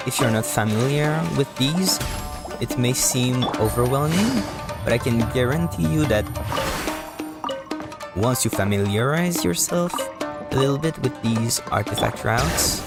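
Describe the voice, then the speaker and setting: Male game streamer, very slow delivery, hesitant and rambling, creaky fry, casual live commentary, loud music behind. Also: mid-range voice. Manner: hesitant, halting, rambling explanations.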